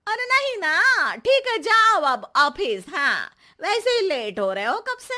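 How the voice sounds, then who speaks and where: Lady doing a high bright cartoon housewife, sarcastic and bossy, always scolding. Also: big pitch swings, takes it slowly, heavily acted. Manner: scolding, exclaiming, sharp-tongued. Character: nagging, sarcastic young Indian housewife. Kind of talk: Hindi cartoon comedy acting.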